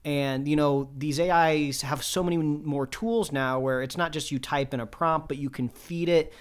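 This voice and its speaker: A man co-hosting a casual podcast, talking quickly and enthusiastically with many fillers. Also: fairly high pitch, steady tone, smooth. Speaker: podcast co-host.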